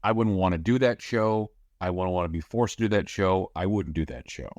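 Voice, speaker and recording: A man with a somewhat low voice talks sports in a relaxed, emphatic way, circling back to the same point more than once. The sound is dry and close, with no room around it. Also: a little fast, no room sound. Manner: conversational, emphatic repetition, filler "uh". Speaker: sports radio host and play-by-play announcer. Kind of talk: podcast interview answer.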